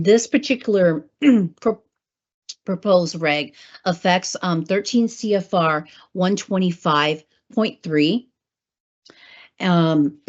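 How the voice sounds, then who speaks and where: A woman with a hoarse voice from a cold, presenting a government training webinar, clearing her throat and using many fillers. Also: on the low side. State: hoarse, getting over a cold. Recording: muffled lo-fi webinar audio.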